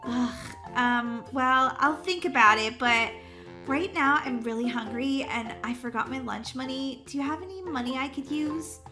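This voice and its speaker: A woman's voice: sits high, moderate pace, lightly acted. A woman voicing a vain, bratty schoolgirl in a children's game story, with whiny, dramatic delivery. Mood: bored, dismissive. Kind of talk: children's Roblox roleplay story. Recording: background music under the voice.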